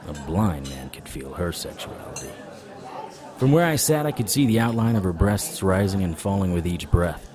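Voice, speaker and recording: An actor playing a wry, world-weary occult detective who narrates in noir audio drama style. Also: male voice, low voice, lively intonation, normal speed, a little mellow. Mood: wry narration. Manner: wry, sardonic. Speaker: narrator and lead character.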